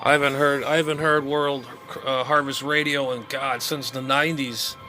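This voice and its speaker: A man's voice: mid-range voice, moderate pace, soft background music. A middle-aged man giving casual, rambling vlog commentary as a radio hobbyist, muttering, repeating himself and trailing off. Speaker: amateur radio hobbyist showing his gear. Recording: noisy recording with steady background noise.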